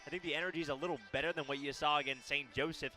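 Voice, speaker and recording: A man's voice: on the high side, fairly even intonation, very fast, sharp tone, soft background music. A man giving conversational, analytical color commentary on a basketball radio broadcast.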